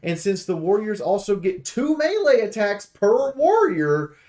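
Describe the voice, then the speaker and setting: A man's voice: sits high, sing-song, unhurried, a little mellow. A man giving unscripted, blunt and emphatic game review commentary in an aggrieved, complaining way as a preview host.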